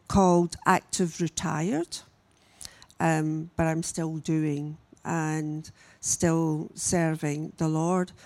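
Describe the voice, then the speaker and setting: A woman, giving a church message as a missionary and telling her story in a halting, unhurried way with frequent pauses. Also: fairly low pitch, a little mellow. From Scotland. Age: 60s. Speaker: guest speaker, missionary. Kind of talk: church message, personal testimony.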